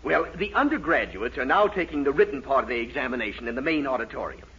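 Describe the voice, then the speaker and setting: An actor playing a sarcastic, exasperated college professor in a fussy, theatrical 1940s radio comedy style. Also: male voice, mid-range voice, expressive, fast, a bit bright. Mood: brisk, exasperated. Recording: dull, noisy 1940s radio recording, audience.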